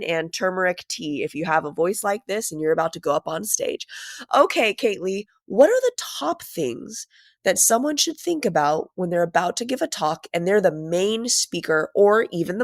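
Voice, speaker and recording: A woman hosting a podcast interview, her voice hoarse from a sinus infection, chatting in a friendly, joking way. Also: mid-range voice, dry recording, studio quality. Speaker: podcast host. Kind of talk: casual interview chat.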